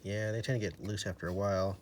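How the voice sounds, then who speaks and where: A man with a fairly low voice talks through a hands-on job in a casual, matter-of-fact way. He takes his time and sometimes trails off. Clear background noise and small handling clicks sit behind him. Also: steady tone, fast talker. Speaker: radio repairer demonstrating. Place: indoors at a table.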